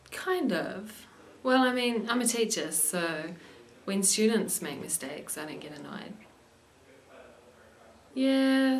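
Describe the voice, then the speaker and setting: A young woman hosting an English lesson show and reading the news in a clear, steady way. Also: deep voice, slight background noise. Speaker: English teacher and show host.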